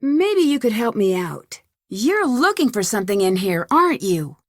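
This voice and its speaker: A young American woman voicing an earnest, curious and polite amateur detective in an adventure game, with clearly enunciated lines. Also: a little high, very animated, normal speed, dry recording, clean studio sound. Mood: probing. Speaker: voice actor for a game's detective heroine. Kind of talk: scripted adventure game dialogue.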